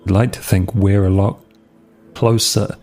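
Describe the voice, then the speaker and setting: A man's voice: fairly low pitch, fairly even intonation, a little slow, a little mellow, faint music behind. A man playing a cocky but devoted man in a tabletop roleplay, speaking in short, casual, sincere phrases. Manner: clipped, casual.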